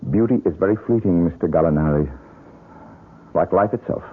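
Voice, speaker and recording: World-weary failed artist, deep husky voice, terse, sardonic, guarded, old radio drama. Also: male voice, brisk. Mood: bitter, resigned. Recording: muffled vintage radio drama recording.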